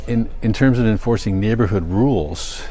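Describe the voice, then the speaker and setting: Older canadian guy rambling casually on his vlog, real low voice, slow and halting, bit of music. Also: warm tone. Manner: halting, thinking aloud, self-correcting. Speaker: vlogger.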